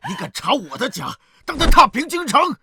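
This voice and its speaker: Casting: gloating, pompous middle-aged merchant, costume drama, lively pitch swings, faint background noise. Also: male voice, unhurried. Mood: furious, threatening. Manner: sneering, pompous, theatrical. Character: arrogant, gloating rich grain merchant, cruel to the poor.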